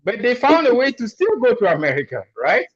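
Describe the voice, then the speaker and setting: A man's voice: very high-pitched, fairly quick, slightly rough, dry recording, lo-fi sound. A man hosting a casual group talk, affirming the speakers and leaning on rhetorical questions. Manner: lively, affirming, uses rhetorical "right?". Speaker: host.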